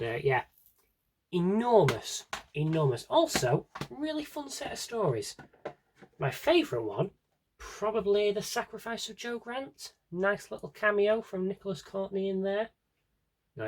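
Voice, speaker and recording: A man's voice: fairly high pitch, a little hiss or hum. A man with a British accent chatting casually and wryly through a rambling show-and-tell as a vlogger, with self-deprecating asides. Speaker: vlogger showing a CD collection.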